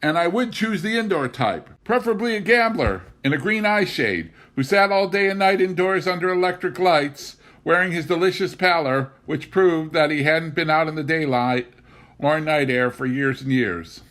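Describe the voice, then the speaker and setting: An exasperated farm wife acted out by a male reader speaks in a raised pitch. She is bitter and dryly sarcastic, a young woman from a storybook memoir. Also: normal speed, a bit bright, lightly acted. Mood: bitter sarcasm.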